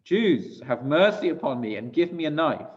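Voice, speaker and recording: A man's voice: medium pitch, moderate pace, a little mellow, recorded from a distance, natural voice. Male reader as resolute young mother, feverishly intense, commanding, in a tragic story; muffled recording. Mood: desperate, commanding.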